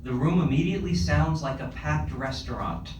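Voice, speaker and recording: A man's voice: mid-range voice, lively intonation, normal speed, darkish tone. A man reading stage directions as scripted narration at a live screenplay table read. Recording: echoey room, distant mic, clear background noise.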